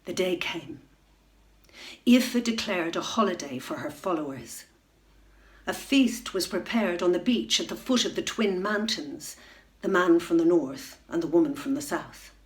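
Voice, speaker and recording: A middle-aged woman reading a children's bedtime story aloud at home, expressive, into a webcam. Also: lowish voice, unhurried. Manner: expressive, rising to loud excitement in dramatic moments. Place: room at home.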